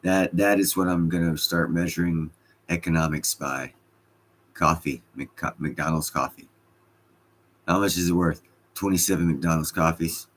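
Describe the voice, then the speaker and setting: A man's voice: low voice, a little slow, a bit bright, slight background noise. A man with a slight Scottish accent hosting a casual livestream, reading chat comments and joking with loose, profane banter.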